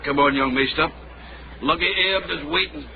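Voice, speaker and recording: A man's voice: on the high side, huge pitch swings, normal speed, vocal fry, full character voice. A man acting a canny Scottish street guide in a radio drama, dry and knowing. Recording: dull, noisy radio drama recording.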